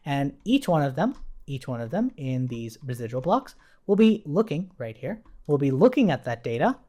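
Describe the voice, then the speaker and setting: A man's voice: fairly high pitch, sing-song, moderate pace, warm tone. A man teaching in an informal coding tutorial, thinking aloud and explaining as he goes, often checking in with the listener. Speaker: programming instructor.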